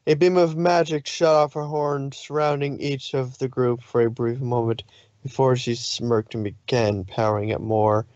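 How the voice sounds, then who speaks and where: A man's voice: mid-range voice, fairly even intonation, moderate pace, darkish tone, dry recording, lo-fi sound. A man narrating fan fiction in a dramatic storytelling way, sometimes stumbling over his words.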